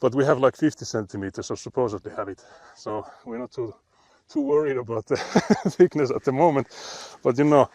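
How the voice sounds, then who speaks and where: A man's voice: mid-range voice, sing-song, brisk, hardly any pauses, darkish tone, no echo. A man with a Finnish accent, chatting casually and laughing often while live-streaming on a frozen lake. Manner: chatty, laughs often, reads chat aloud.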